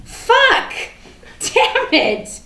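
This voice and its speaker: A woman's voice: very high voice, huge pitch swings, bright, forceful tone, recorded from a distance, full character voice. A woman as a rambling, defiant suspect, echoey room. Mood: agitated. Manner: chatty, sarcastic, halting, breaks into nervous laughs. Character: rambling, defiant, foul-mouthed woman caught for wrongdoing, under questioning. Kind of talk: dramatic monologue in a staged reading. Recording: echoey room with faint background noise.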